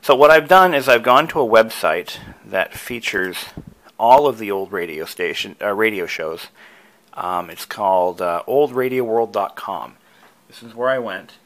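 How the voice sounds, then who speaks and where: A man's voice: fairly low pitch, animated, unhurried, fairly bright tone, low-quality recording. A man presenting a hobby tutorial, casually talking through each step with enthusiasm.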